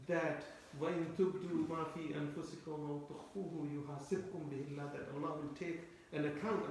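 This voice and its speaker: Echoey, distant lecturing man with a high voice. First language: Turkish. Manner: explanatory, repeats phrases, asks listeners rhetorical questions. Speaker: Quran teacher. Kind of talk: tafsir lecture. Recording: very echoey room, voice from far off.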